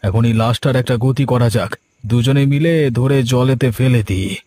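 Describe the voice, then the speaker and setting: An actor playing a menacing, smug village killer in a cartoon, bullying and commanding. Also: male voice, medium pitch, a little slow, mellow, no room sound, clean studio sound, close to an everyday voice. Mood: smug, scheming. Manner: threatening, commanding.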